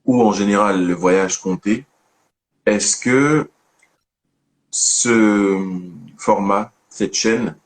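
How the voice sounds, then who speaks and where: A man hosting an online interview, asking questions and teasing his guest in a joking, familiar way. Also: low voice. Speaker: host of a storytelling channel.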